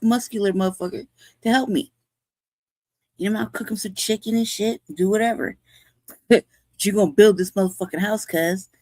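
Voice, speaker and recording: A woman's voice: medium pitch, darkish tone, no room sound. A woman hosting a show, talking in blunt, profane, rambling commentary and laughing often. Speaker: show host.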